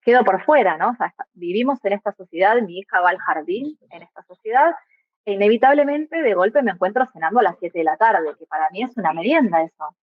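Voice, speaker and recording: A woman's voice: mid-range voice, lively intonation, fast, no room sound, phone-quality sound. A young adult woman from Argentina with an Argentine Spanish accent, a guest who speaks reflectively and keeps adding little tag questions. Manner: thoughtful, often adds tag questions. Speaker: guest, migrant mother. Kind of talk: reflective podcast conversation.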